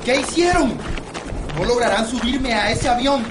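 A woman's voice: a little high, lively intonation, normal speed, noisy background, natural voice. A woman playing a defiant, demanding president held captive in an amateur radio drama. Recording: echoey, distant, loud music behind.

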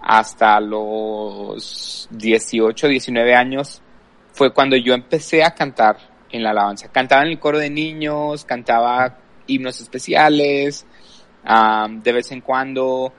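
A man's voice: mid-range voice, a little slow, fairly bright tone. A man from El Paso, Texas, talking casually about himself and often thinking aloud. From El Paso, Texas, USA. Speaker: podcast guest, worship leader. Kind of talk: casual personal storytelling.

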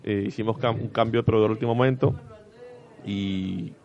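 A man's voice: lowish voice, steady tone, brisk, vocal fry, a crowd in the background. A man chatting casually as a panelist on a podcast, in a slightly dull recording. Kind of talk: casual chat.

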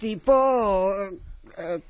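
High-voiced controller, quick asides. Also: male voice, muffled recording. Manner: brief, agreeable interjections. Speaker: air traffic controller, guest. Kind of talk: casual interview chat.

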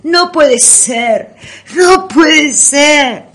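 A woman in amateur radio theatre playing a horrified elderly lady with drawn-out, shocked exclamations. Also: high-pitched, fairly bright tone, voice pushed up, full character voice. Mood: terrified. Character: horrified elderly woman, pet parrot's owner.

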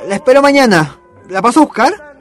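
A man's voice: very high-pitched, animated, fairly quick. A cheeky young suitor from a radio play, acted by a man, breezy and persistent. He, and faint music plays behind him. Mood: cheeky, insistent. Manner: breezy, insistent. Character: cheeky, persistent teenage boy suitor named Pedro. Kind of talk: radio drama acting.

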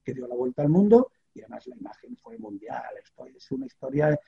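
An older man from Extremadura spins a long reporting anecdote, his voice gravelly and breathy. He keeps restarting and padding his phrases with fillers, and the recording has a slightly muffled, dull tone. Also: fairly high pitch, big pitch swings, brisk. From Extremadura, Spain. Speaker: guest, veteran sports radio reporter. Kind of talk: storytelling in a studio chat.

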